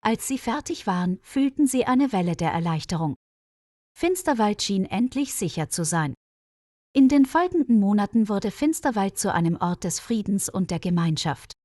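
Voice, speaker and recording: A woman's voice: mid-range voice, animated, normal speed, darkish tone, dry recording. A woman reads a scripted mystery tale as a storyteller. The recording is very dry, with no room sound. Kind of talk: scripted narration of a mystery story for German learners.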